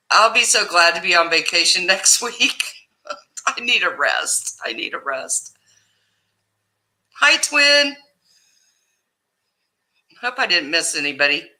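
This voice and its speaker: Woman, livestream host, loud carrying voice, unhurried, very bright tone, chatty. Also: fairly low pitch. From Ohio, USA. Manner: loud voice that carries, chatty and laughing. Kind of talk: casual livestream chat greeting viewers.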